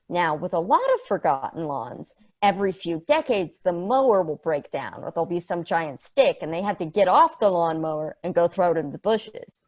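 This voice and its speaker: A woman playing a sardonic, passionate space probe in a table read, casual, rambling and sweary. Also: on the low side. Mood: fascinated, earnest. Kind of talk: conversational acting in a script table read.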